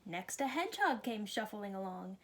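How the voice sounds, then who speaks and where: A woman reading a children's story aloud with expressive, clearly phrased delivery. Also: medium pitch, normal speed, slight background noise. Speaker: storybook reader.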